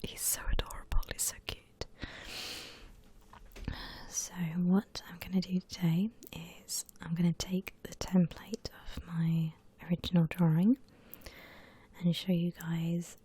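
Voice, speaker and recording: Whispering woman, slow ASMR drawing tutorial. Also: lowish voice, flat delivery. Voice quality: breathy whisper. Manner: gentle, unhurried step-by-step explaining.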